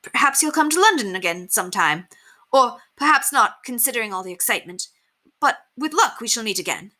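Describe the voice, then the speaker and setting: A woman's voice: a little high, lively intonation, brisk, sharp tone, voice pushed up. A woman voicing a friendly, sheepish young man with breezy, old-fashioned phrasing in a storybook reading. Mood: flustered, cheery.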